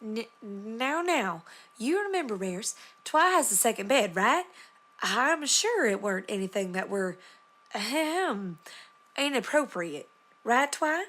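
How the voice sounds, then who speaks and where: A woman's voice: fairly high pitch, big pitch swings, a little slow, a bit bright, exaggerated voice. A woman voicing a folksy, plainspoken female farm pony in a cartoon story. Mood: nervous.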